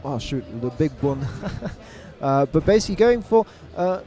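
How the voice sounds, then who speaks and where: A man giving live play-by-play commentary on a card game match, stressing repeated words and correcting himself quickly. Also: fairly high pitch, lively intonation, dark tone, faint music behind. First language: English. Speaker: esports caster. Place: event venue abroad.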